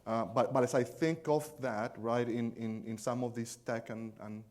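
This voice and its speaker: A man's voice: medium pitch, steady tone, normal speed, darkish tone. A man from Chile speaking as an industry panelist in a conference panel discussion, conversational, often checking in with "right?" and slipping in dry jokes. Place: conference stage.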